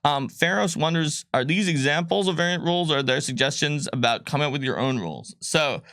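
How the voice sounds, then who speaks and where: A man's voice: on the high side, animated, fairly quick, fairly bright tone, dry recording. Casual, rambling man in a dry room. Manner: informal, rambling, jokey asides. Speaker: game designer answering viewer questions on a livestream. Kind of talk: casual livestream Q&A chat.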